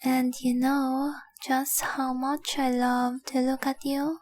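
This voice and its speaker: A woman acts out a dragon-blooded young girlfriend in a romantic audio roleplay. Her voice is high and breathy, often falling to a whisper. She speaks slowly in a narrow, even melody, lingering tenderly over her words like someone adoring and guarding a treasure she wants to keep. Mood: adoring, playful. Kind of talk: intimate romantic audio roleplay, addressed to the listener.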